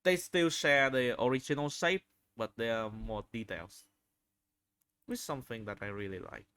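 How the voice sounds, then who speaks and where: Bright-voiced man giving halting commentary. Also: medium pitch, clear, resonant tone, dry recording. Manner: halting, searching for words, repeats phrases. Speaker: video host reviewing game screenshots. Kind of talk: unscripted commentary.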